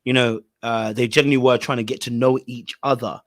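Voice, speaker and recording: A man's voice: medium pitch, steady tone, a little fast, no room sound. Dry-sounding laid-back male podcast host. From Ghana. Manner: laid-back, chatty, laughs easily. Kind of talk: casual reality-show discussion.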